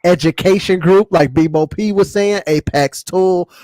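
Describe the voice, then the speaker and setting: A man's voice: high-pitched, a little slow, a little mellow, no echo. A man chatting casually as a podcast host, using slang and reacting readily to the others. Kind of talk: casual group banter.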